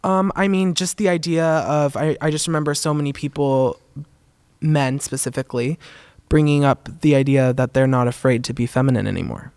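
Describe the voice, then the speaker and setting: A man's voice: medium pitch, steady tone, normal speed, darkish tone, clear, resonant tone, no room sound. A young man, a photographer, answering onstage interview questions in an earnest way with many "um"s, in a voice he calls feminine. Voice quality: describes his own voice as feminine. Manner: earnest, many "um"s and "kind of", laughs often. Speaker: guest, photographer and zine curator. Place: theater stage.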